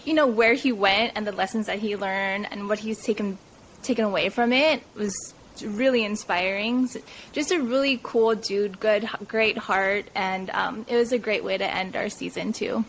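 A woman's voice: medium pitch, normal speed, slight background noise, rough recording. A young woman co-hosting a podcast, chatting upbeat with many "like"s and frequent laughter. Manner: upbeat, lots of "like", laughs often. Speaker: podcast co-host. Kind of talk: casual podcast chat.

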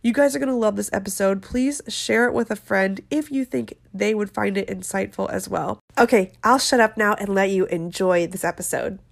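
A woman's voice: medium pitch, normal speed, gravelly, few pauses. A woman hosting a nutrition podcast, talking casually with a nasal, congested voice from a head cold. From Florida. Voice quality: nasal, stuffed-up. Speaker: podcast host, dietitian. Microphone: video call.

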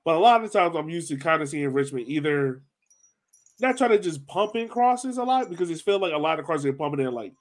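A man's voice: fairly high pitch, expressive, brisk. Casual man hosting a sports podcast. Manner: informal, hedging, thinking aloud. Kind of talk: casual soccer post-match discussion.

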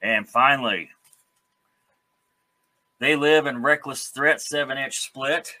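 A man hosting an interview show in a casual, enthusiastic, chatty way, quick to laugh. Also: mid-range voice, pushed and bright. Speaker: host of a music interview show.

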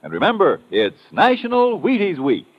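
An American man working as a radio commercial announcer, giving a folksy salesman's pitch in 1950s live style. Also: huge pitch swings, normal speed. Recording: dull, muffled old radio recording.

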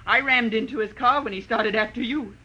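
A woman's voice: fairly high pitch, a little fast, sharp tone, breathy edge. A woman playing a desperate, coldly apologetic murderess, speaking in short, intense confessional sentences in 1940s radio melodrama style. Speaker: radio drama actress. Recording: noisy old radio broadcast.

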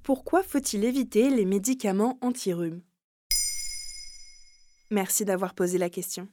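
Mellow-voiced woman podcast host. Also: mid-range voice, measured pace, a little hiss or hum. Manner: crisp, poses short questions. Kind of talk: scripted podcast questions and outro.

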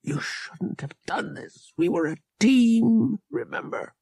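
A man's voice: high-pitched, lively intonation, takes it slowly, creaky, a little mellow, husky, dry recording, studio quality, voice pushed up, full character voice. A man voicing a heartbroken young man in an audiobook, arguing bitterly with himself, his throat raw. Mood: choked grief.

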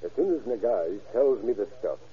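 A man's voice: on the low side, lively intonation, normal speed, husky. A man in an old radio drama playing a tough, cool undercover narcotics detective, clipped and hard-boiled. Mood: brisk, commanding. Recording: muffled, noisy vintage radio recording.

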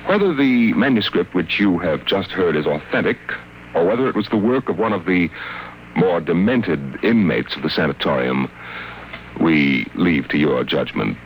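A man acting as a solemn, measured narrator of eerie tales in a vintage radio drama. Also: low voice, animated, a little hiss or hum, rough recording. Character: grave male narrator of eerie science fiction tales. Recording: old radio recording under loud music.

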